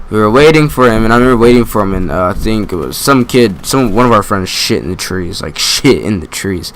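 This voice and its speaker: A man's voice: fairly low pitch, a little hiss or hum, poor audio. A young man telling a story in a casual, slangy, profane style, acting out asides and joking at his own expense. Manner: animated retelling with quoted asides and self-deprecating jokes.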